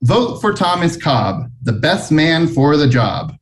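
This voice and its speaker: A man's voice: fairly high pitch, very animated, takes it slowly, slight background noise. A man voicing earnest elementary school children in a storybook, eager and declamatory. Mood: campaigning.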